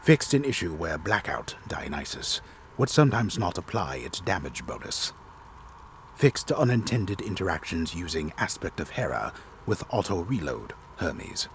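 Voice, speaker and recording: Deep, theatrical male storyteller. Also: sing-song, slight background noise. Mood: solemn, dramatic. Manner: theatrical, deliberate, solemn delivery. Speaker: narrator. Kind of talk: dramatic reading, scripted game narration.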